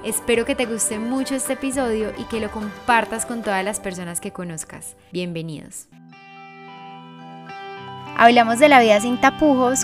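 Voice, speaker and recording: A woman with a Paisa accent hosting a podcast, reading a scripted introduction and welcoming her guest. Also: medium pitch. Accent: Colombian Paisa. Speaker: podcast host. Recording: clear music under voice.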